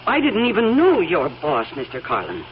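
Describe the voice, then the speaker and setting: An actress playing a guarded, anxious young woman in a radio drama, halting and pleading with proper diction. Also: fairly low pitch, huge pitch swings. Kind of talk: old radio drama acting. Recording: dull, hissy old radio recording.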